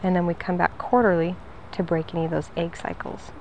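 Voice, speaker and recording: Chatty woman pitching pest control at a doorstep. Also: level tone, fairly quick, darkish tone, muffled recording, slight background noise. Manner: friendly, upbeat, talkative. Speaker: door-to-door pest control salesperson. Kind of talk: sales pitch at a front door. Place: outdoors at a house door.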